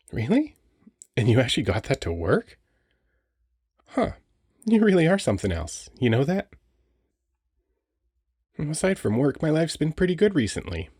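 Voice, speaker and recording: Man playing a husky, playful Christmas elf. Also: medium pitch, a little mellow, no room sound, studio quality. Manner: playful, teasing, bubbly, chatty. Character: cheerful, flirty male Christmas elf toymaker. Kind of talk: romantic audio roleplay addressed to listener.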